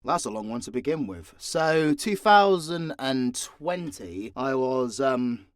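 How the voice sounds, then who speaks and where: A man's voice: mid-range voice, lively intonation, slow, dry recording, studio quality. A man with a British accent chatting casually and candidly in an unscripted Q&A, swearing freely and poking fun at himself. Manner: candid, sweary, self-deprecating. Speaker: voice actor and audio channel creator.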